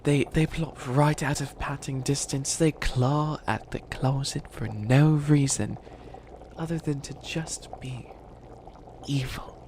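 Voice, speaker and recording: Bumbling evil bunny witch , male performer, comedic ASMR: theatrical, rambling schemer, gravelly creak, slight noise behind. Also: medium pitch, takes it slowly. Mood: conspiratorial plotting. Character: bumbling, dramatic bunny witch who thinks himself evil.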